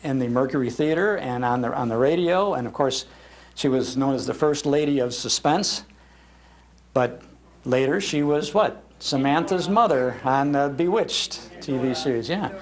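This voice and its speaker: An older American man with a Midwestern accent tells nostalgic stories to a live audience in a folksy, animated manner, repeating phrases for emphasis. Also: medium pitch, moderate pace. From Chicago, United States. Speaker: speaker giving a talk on old-time radio. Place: public library, live talk.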